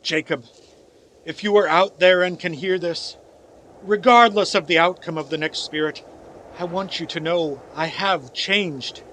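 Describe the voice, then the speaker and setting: A man's voice: fairly high pitch, a little slow, a bit bright, slight background noise. A man in a radio play playing a desperate old miser who pleads earnestly and formally for redemption. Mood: solemn, repentant. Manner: earnest, imploring, formal Victorian phrasing. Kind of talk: theatrical radio play acting.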